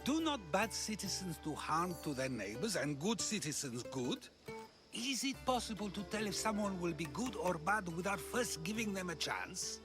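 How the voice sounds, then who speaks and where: An actor playing an earnest ancient Greek philosopher who talks in probing, rhetorical questions in a video game. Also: male voice, sits high, big pitch swings, normal speed, over music. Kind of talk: video game cutscene acting.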